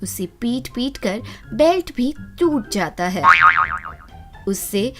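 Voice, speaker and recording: A woman storyteller delivers scripted narration for children. Her voice is fairly high and her pace is slow. Music plays clearly behind her. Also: expressive, a little mellow. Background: background music.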